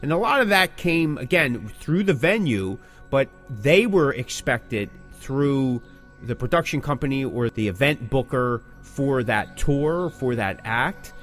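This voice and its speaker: A man's voice: medium pitch, animated, unhurried, fairly bright tone, faint music behind. A man co-hosting a podcast, explaining things in a casual, emphatic, conversational way. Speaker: podcast co-host and working band musician.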